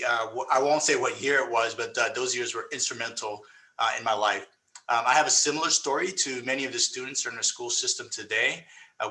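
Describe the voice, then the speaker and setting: A man's voice: medium pitch, pushed and bright, rough recording. A man from South Florida speaking on a career panel, earnest and grateful, with some fillers. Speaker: school district leader, panelist.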